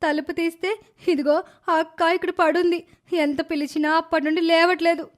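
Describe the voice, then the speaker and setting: A woman voicing a sulky, cheeky, scheming little village girl in an animated moral story. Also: very high voice, moderate pace. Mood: nervous, pleading. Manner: insolent, talks back to elders.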